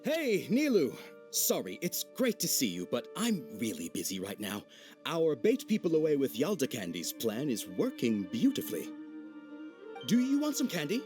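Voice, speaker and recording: An actor playing a busy, friendly, eager young helper in a video game cutscene. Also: male voice, fairly high pitch, wildly swooping pitch, normal speed, a little mellow, faint music behind. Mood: cheerful, busy.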